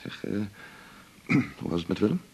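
An actor playing a lonely, teasing, self-mocking doctor in a naturalistic radio drama, talking in a wry, confiding way. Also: male voice, deep voice, sing-song, moderate pace, gravelly, husky, heavily acted. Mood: joking, nervous. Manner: wry, confiding, short clipped phrases.

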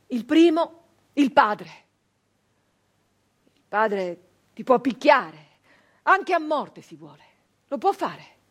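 An actress playing an embittered, defiant nineteenth-century southern Italian brigand woman in a theatrical monologue, with insistent repetitions and weighty pauses. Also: fairly high pitch, slightly rough, heavily acted.

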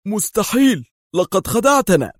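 A man voicing a stern, angry armed intelligence agent in a storybook thriller, with a sharp, agitated delivery. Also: high-pitched, sing-song, moderate pace, no room sound, studio quality, voice pushed up. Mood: stunned disbelief.

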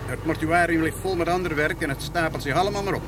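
A man's voice: a little high, quick pace, recorded from a distance, close to an everyday voice. An actor playing a resigned, easygoing male police detective in radio crime drama dialogue. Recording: off-mic, noisy vehicle and street ambience.

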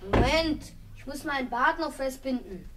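A woman's voice: high voice, expressive, moderate pace. A woman acting a cocky, casual, always hungry schoolboy in a radio play. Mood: cheerful, cocky. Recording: echoey hall, faint noise.

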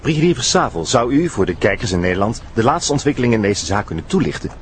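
A man's voice: mid-range voice, very animated, fast talker, a little hiss or hum, close to an everyday voice. A man playing an eager, formal television reporter presenting on the scene in an audio drama.

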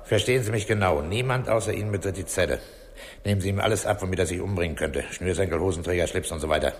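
Casting: male actor for a gruff, unhurried police chief with a very low voice, terse orders, noisy vintage radio drama. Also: close to an everyday voice. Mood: stern. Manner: terse, authoritative, dryly unhurried. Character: calm, gruff, commanding middle-aged police commissioner. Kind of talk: 1960s German radio crime drama acting.

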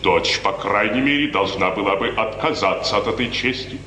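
Proud, fiery old colonel, formal classical tragedy, echoing hall, noisy 1950s radio recording. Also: male voice, on the low side, moderate pace, pushed and bright. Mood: stiff, guarded. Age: older. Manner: theatrical, brooding, stiffly formal. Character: proud, hot-headed old colonel, wronged father.

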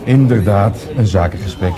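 An actor playing a dry, fond, slightly drunk police detective in a radio drama. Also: male voice, lowish voice, moderate pace, gravelly. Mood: dry, matter-of-fact. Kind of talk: naturalistic radio drama acting. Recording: music behind the voice.

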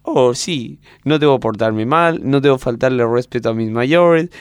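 A man's voice: medium pitch, moderate pace, smooth, no room sound, clean studio sound. An Argentine man bantering informally in slang while recording comedy lines, prone to giggling. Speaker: comedy group performer.

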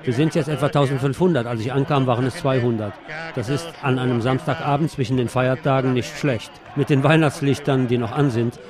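A man's voice: medium pitch, steady tone, brisk. A man reporting for radio and reading out translated interview answers. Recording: street crowd behind the voice. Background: outdoor urban crowd noise.

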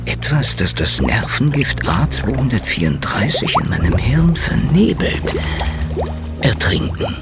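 A battered sardonic private eye narrating a radio play, gravel voice, swings up and down a lot, loud music. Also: male voice, mid-range voice, sing-song, a little slow. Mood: dazed, fading. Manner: brooding, sardonic first-person narration. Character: hard-boiled male Soviet private detective, wry, battered, stubborn. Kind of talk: noir radio drama narration.

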